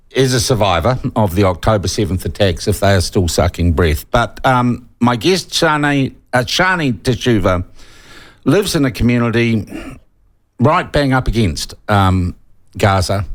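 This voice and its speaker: A man with a New Zealand accent hosting a conversational radio interview in a studio, with a halting, hedging delivery. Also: on the low side. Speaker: radio talk show host. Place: broadcast studio.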